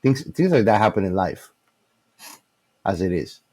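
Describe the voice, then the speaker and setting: A man's voice: on the low side, animated, unhurried, warm tone, breathy edge. A man co-hosting a casual movie discussion, talking in a slangy, emphatic way. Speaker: podcast co-host.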